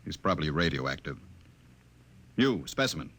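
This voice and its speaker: A man's voice: very deep voice, sing-song, normal speed, fairly bright tone, heavily acted. An actor playing a haughty, domineering male official, imperious and contemptuous, in 1950s radio drama. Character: haughty, domineering male director of emotional stability. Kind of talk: 1950s science fiction radio acting.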